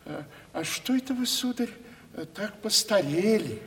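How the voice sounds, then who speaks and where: An actor playing a simple, deferential country manservant with a homely, obsequious manner in a classical radio comedy. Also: male voice, high voice, expressive, measured pace, gravelly, exaggerated voice. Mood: servile, homely. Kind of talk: Russian classical stage comedy, radio play. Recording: reverberant 1970s radio drama recording.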